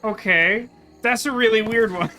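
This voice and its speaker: A man's voice: sits high, moderate pace, pushed and bright, faint music behind. A man doing casual let's play commentary, musing and thinking aloud as he works through puzzles. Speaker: gaming video host.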